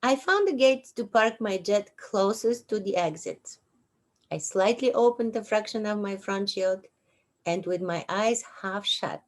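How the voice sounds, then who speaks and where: A woman in her sixties with a Bulgarian accent, host of her own show, reading her memoir aloud slowly and deliberately with dry humor. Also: mid-range voice. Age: 60s. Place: at home on a couch.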